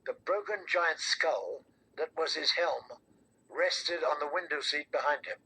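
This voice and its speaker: A man with a raspy voice reading a scripted fantasy novel as an audiobook narrator. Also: fairly high pitch, unhurried, sharp tone, poor audio.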